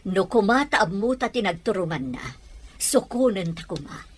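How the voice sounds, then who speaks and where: A woman's voice: medium pitch, animated, moderate pace, slightly rough. A woman playing a worried, caring village mother of a grown son in an audio drama. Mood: concerned. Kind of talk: Ilocano audio drama acting.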